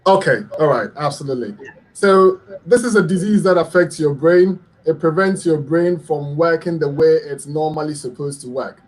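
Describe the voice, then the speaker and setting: A man's voice: a little high, moderate pace. Earnest man explaining health topics. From Ghana. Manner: earnest, explanatory, frequent ums and repetitions. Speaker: guest expert, public health lecturer. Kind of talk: radio health interview, explaining.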